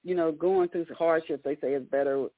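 A woman's voice: low-pitched, fairly even intonation, brisk, no echo. A woman hosting a book interview show, introducing her guest with chatty, repetitive phrasing. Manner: warm chatty introductions with repeated words and restarts. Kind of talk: radio interview call-in. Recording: dry tinny phone line.

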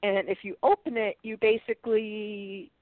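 A woman's voice: medium pitch, little pitch movement, no room sound. A woman with a stuffed-up nose chatting casually while reviewing beauty products and reading package text aloud, often restarting her sentences. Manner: chatty, halting restarts, light laughs. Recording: tinny phone-like line, dry.

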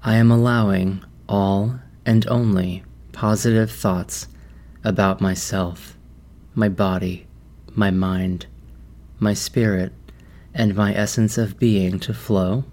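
A man leading a guided meditation in a measured, deliberate manner with long pauses. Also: lowish voice, darkish tone.

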